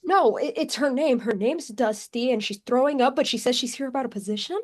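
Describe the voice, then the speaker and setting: A woman's voice: high voice, fairly quick. A woman playing a timid, eager-to-please secretary, anxious and hesitant, in a comedy play reading. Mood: flustered. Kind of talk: comedy play table read.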